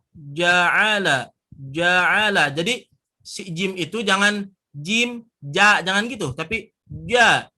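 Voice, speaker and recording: A man with a Sundanese accent teaching Quran recitation, sounding out syllables slowly and firmly and repeating them. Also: high-pitched, pushed and bright. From West Java. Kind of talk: recitation lesson.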